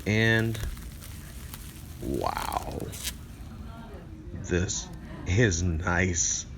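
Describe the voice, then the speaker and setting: A man's voice: low voice, huge pitch swings, drawn-out words, vocal fry, a bit bright, slight background noise. A man presenting a video review in an earnest, wordy way, with rather formal phrasing. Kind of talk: scripted review narration with enthusiastic unboxing remarks.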